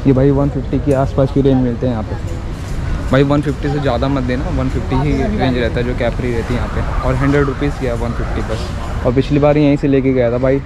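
A young man with an Indian accent gives casual, repetitive vlog commentary for his viewers, recorded on a handheld camera in the street. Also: mid-range voice. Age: young adult. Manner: informal, repetitive, explaining to viewers. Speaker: shopping vlogger. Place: outdoor street market and roadside. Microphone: handheld action camera at arm's length. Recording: noisy outdoor street with traffic. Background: street and vehicle noise.

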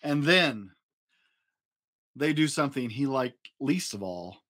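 Male storyteller, forties, mid-pitched and very animated, playful dramatic emphasis for young listeners. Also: takes it slowly. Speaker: dad storyteller, host. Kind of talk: expressive children's storybook reading. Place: small indoor home studio room.

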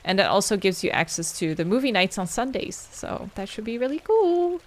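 A woman's voice: medium pitch, big pitch swings, fairly quick. A young adult woman, a game streamer wrapping up with casual, rambling chat as she thanks viewers by name and answers their questions. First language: Dutch.

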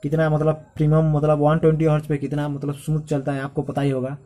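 A man's voice: fairly high pitch, completely flat delivery, fast talker, dark tone. A man narrating a casual step-by-step phone tutorial in a repetitive, instructional manner. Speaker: tech tutorial host.

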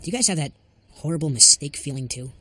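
A woman's voice: low voice, animated, fast, loud music behind the voice. A woman voicing a laid-back, wisecracking preteen boy in a cartoon, who talks in a dry, sarcastic way.

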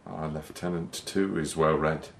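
An actor playing a grave, courtly official in a radio drama, measured and dry, in a roomy space. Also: male voice, rumbling low, fairly even intonation, creaky, full character voice. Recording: roomy, echoing sound.

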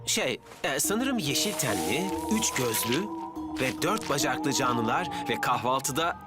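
A man's voice: mid-range voice, big pitch swings, measured pace. A man playing a good-natured, patient builder in a Turkish-dubbed children's cartoon. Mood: hesitant explaining. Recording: loud music behind the dialogue.

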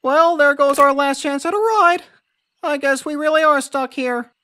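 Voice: high-pitched, normal speed, pushed and bright. A performer voicing a lanky, cowardly young slacker guy in a cartoon, whiny and sarcastic, peppering speech with "like". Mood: resigned, casual. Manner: whiny, says "like" constantly. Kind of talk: cartoon voice acting.

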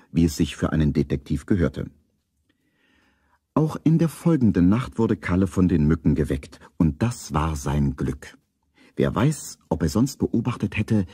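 Radio play narrator for kids detective story, deep voice, really lively melody. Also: male voice, slightly rough, dry recording, studio quality, heavily acted.

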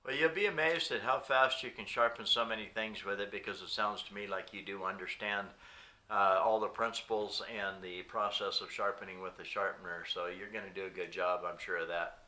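A man's voice: lowish voice, steady tone, brisk, pushed and bright. A man in his fifties chatting casually on a phone call with a customer as a product seller. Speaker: knife sharpener company host and seller. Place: hotel room, indoors. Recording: roomy hotel-room echo.

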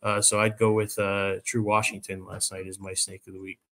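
A man in his thirties breaks down a game casually. His voice is lowish, bright and forceful, with little rise and fall, and his phrasing is hesitant and choppy. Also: monotone, a little fast. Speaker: sports podcast panelist. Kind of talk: casual sports analysis. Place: indoors at home.

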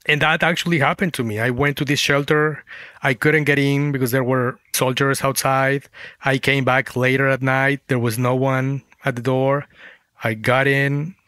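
Male interview guest, Spanish speaker, reflective storyteller, mid-pitched, very dry recording. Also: little pitch movement, normal speed, pushed and bright, clean tone, dry recording. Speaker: guest author, former journalist.

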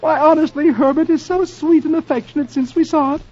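A woman's voice: sits high, steady tone, a little fast, warm tone, natural voice. A woman voicing a breathless young female neighbor who gushes rapturously about romance, in a 1940s radio comic-strip reading. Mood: gushing. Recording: lo-fi old radio recording.

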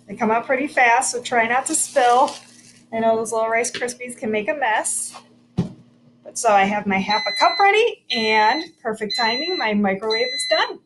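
A woman's voice: fairly high pitch, lively intonation, normal speed, noisy background. A woman in her thirties, a teacher, giving a cheerful step-by-step cooking demo for children from her home kitchen, picked up by a laptop camera across the counter. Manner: cheerful, clear, instructive. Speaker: teacher hosting a kids' cooking video.

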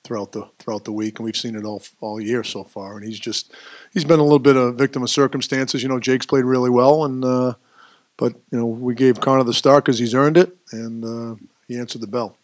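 A middle-aged man as head coach at a postgame press conference, speaking at a table microphone in a press room, measured and dropping off at phrase ends, with many fillers. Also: medium pitch, steady tone, dry recording. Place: press room with sponsor backdrop.

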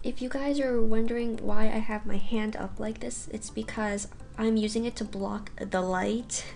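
A woman's voice: a little high, fairly even intonation, normal speed, vocal fry, darkish tone. A teenage girl talking casually to her webcam at home, explaining a beginner workout. She is getting over a cold and tosses in lots of "you guys". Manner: chatty, says "you guys" often, light laughs. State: recovering from a cold. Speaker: figure skating vlogger. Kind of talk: casual tutorial talk to camera. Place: carpeted basement room at home.

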